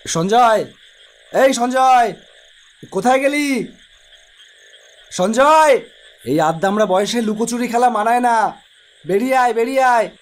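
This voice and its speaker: A man's voice: very high voice, moderate pace, pauses often. A man voicing an anxious young man in a horror cartoon, with colloquial, grumbling speech. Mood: worried, calling out. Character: anxious young man searching for missing friend. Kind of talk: Bengali cartoon horror story voice acting.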